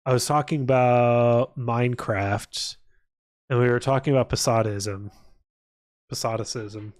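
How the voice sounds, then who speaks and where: A man's voice: medium pitch, fairly even intonation, unhurried. Rambling, irreverent man livestreaming. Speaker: live streamer. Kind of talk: casual livestream chat.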